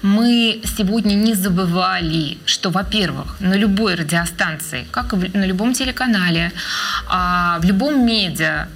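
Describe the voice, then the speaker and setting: A woman being interviewed as a researcher of children's radio, speaking in a measured, thoughtful way with frequent hesitation fillers. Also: mid-range voice, fairly bright tone. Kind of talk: interview answers.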